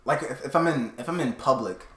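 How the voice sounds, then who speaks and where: Give me a young man co-hosting a podcast in an echoey room, switching from loud to quiet. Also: brisk. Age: young adult. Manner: shifts between loud projected voice and quiet low talk. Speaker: podcast co-host. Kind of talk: casual chat.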